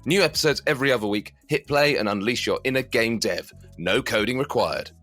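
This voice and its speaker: A man's voice: medium pitch, fairly quick, fairly bright tone, faint music behind. A man who hosts a podcast, reading a scripted promo for his show. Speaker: podcast host.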